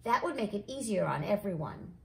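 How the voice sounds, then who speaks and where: A woman's voice: mid-range voice, very animated, a little fast, vocal fry, a little mellow. Woman reading as a firm, persistent aunt. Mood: practical. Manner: firm, matter-of-fact. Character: practical, persistent middle-aged aunt, firm. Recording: echoey room.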